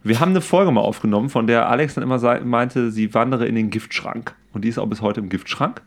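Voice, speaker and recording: Guy on a podcast talking really fast, medium pitch, enthusiastic, slangy w english words thrown in. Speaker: podcast co-host. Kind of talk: casual podcast chat.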